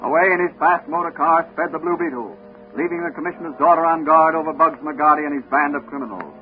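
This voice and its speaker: A man's voice: fairly high pitch, fast talker, close to an everyday voice. A man playing a dramatic, suspenseful announcer narrating a 1940s radio serial. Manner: dramatic, declamatory. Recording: tinny 1940s radio recording with music.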